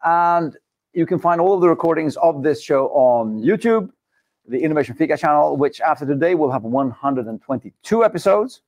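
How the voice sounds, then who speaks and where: A man's voice: fairly high pitch, expressive, fairly quick, a little mellow, no room sound. Upbeat chatty male studio host. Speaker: podcast host. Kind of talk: live studio interview show. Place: studio set with dark backdrop.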